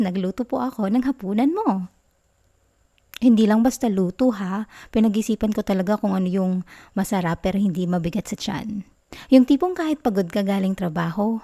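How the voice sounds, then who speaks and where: A woman with a Filipino accent playing a caring, playful girlfriend in a soft, intimate ASMR roleplay. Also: mid-range voice, lightly acted. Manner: soft, intimate, giggly, teasing. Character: affectionate, caring young girlfriend, playful and sweet.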